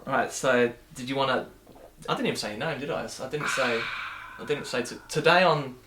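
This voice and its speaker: A man's voice: mid-range voice, a little fast. A man hosting a casual chat vlog, talking hesitantly with frequent restarts. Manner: hesitant, stammering. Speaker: host. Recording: echoey room with faint noise.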